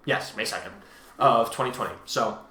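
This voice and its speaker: Bright-voiced man chatting in an echoey room. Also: mid-range voice, moderate pace. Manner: rambling, self-correcting. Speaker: host, fan fiction author and reader. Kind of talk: casual discussion of own story.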